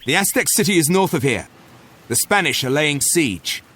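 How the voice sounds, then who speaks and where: Blunt soldier for a game: rough-spoken, fairly high, very animated, faint music. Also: male voice, moderate pace, full character voice. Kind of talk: video game cutscene acting.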